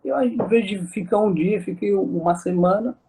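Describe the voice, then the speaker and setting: A man's voice: high voice, moderate pace, gravelly, dark tone, breathy edge, rough recording. A Moroccan man speaking with a Moroccan accent, an interview guest talking casually with many fillers and restarts.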